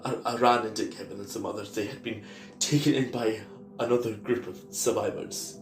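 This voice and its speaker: A man playing a wounded apocalypse survivor in an audio drama, grieving and apologetic, speaking in a halting, breathy, pleading way. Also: on the low side, steady tone, echoey room, faint music behind, close to an everyday voice. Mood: grim, halting.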